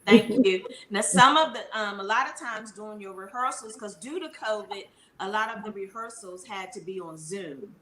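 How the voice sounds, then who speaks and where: A woman's voice: mid-range voice, normal speed, hardly any pauses, reverberant, crowd noise. A woman hosting an interview, putting questions to her guest in a conversational way. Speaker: interview host.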